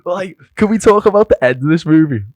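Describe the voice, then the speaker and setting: A man's voice: on the high side, lively intonation, fairly quick, darkish tone, no room sound. A man co-hosting a casual movie podcast, rambling with fillers and laughing a lot. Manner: rambling, giggly, lots of fillers. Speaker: podcast co-host.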